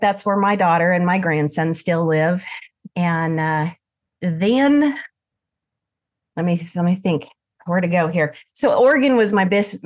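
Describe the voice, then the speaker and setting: An older woman from southern Idaho with a mixed American accent, chatting as an interview guest and telling her story with frequent laughs. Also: fairly low pitch. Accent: mixed American, Idaho and Texas. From southern Idaho, USA. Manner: chatty, animated, laughs often. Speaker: guest, lavender farm owner.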